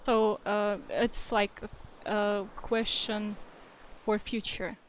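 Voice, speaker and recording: A woman's voice: medium pitch, little pitch movement, takes it slowly, a little hiss or hum, low-quality recording. A young woman with a Russian-influenced accent answering reader questions in a casual livestream, hesitant and full of fillers. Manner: hesitant, many fillers and restarts, reads comments aloud. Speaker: fanfiction writer answering readers.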